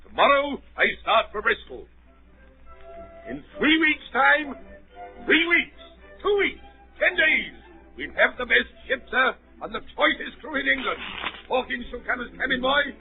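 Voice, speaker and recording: Loud-mouthed squire, very high voice, eager, booming exclamations, radio drama, tinny vintage broadcast. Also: male voice, sing-song, measured pace, soft background music, exaggerated voice. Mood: eager, excited. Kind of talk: 1930s radio acting.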